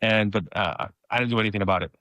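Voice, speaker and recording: Low-voiced hesitant man telling his story. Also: steady tone, quick pace, pushed and bright, no echo. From Mexico. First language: Spanish. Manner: hesitant, repeats words and restarts often. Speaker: podcast guest. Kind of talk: personal storytelling in conversation.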